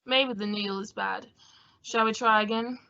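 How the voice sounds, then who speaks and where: A woman's voice: mid-range voice, fairly even intonation, normal speed, vocal fry, pushed and bright. A woman playing a fussy, sardonic male photographer with an arch, droll manner in a radio play. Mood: arch, genial. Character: fussy, sardonic, theatrical male photographer, grumpy but fond. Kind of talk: old-time radio comedy-mystery acting. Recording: dull lo-fi audio.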